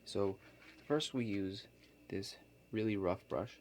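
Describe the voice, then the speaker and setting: A man playing a laid-back construction contractor in an ASMR role play, explaining things to a client in a halting, matter-of-fact way. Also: on the low side, darkish tone, slight background noise. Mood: casual, unhurried.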